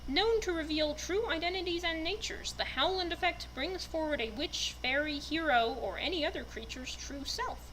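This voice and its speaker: A woman's voice: high voice, moderate pace, hardly any pauses, fairly bright tone, slight background noise, rough recording. A woman speaking expressively and with enthusiasm as a fan narrator, in the manner of a dramatic reader. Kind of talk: dramatic reading and casual fan commentary.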